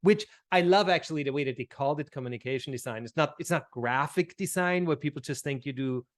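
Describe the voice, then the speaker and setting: A middle-aged man from Austria with an Austrian accent, chatting as a podcast guest, animated and laughing often, restarting his sentences. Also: on the high side, fast talker. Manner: animated, laughs often, repeats words when starting sentences. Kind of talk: casual interview chat.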